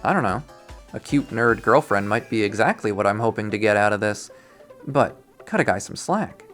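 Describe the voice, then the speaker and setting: A man's voice: on the low side, lively intonation, normal speed, fairly bright tone, faint music behind. A young man reading a visual novel aloud in first person, with a dry, wry delivery.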